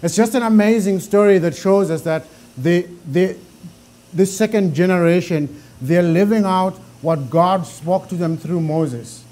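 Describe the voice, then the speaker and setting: A middle-aged man with a deep Zimbabwean accent teaching a Bible class in a conversational way, often repeating words as he thinks. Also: unhurried, darkish tone.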